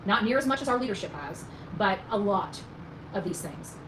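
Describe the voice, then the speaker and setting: A woman's voice: mid-range voice, expressive, moderate pace. A woman telling a rambling, casual story to her viewers in a folksy, emphatic, colloquial way. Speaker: vlogger recounting own experience. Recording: echoey room with faint noise.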